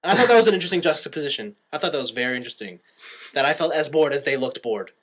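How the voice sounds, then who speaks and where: A male podcast host chatting casually about anime. His voice swings widely in pitch as he gripes and argues his opinions. He sounds exasperated and swears casually. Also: lively intonation, very fast, a bit bright. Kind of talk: casual anime review chat.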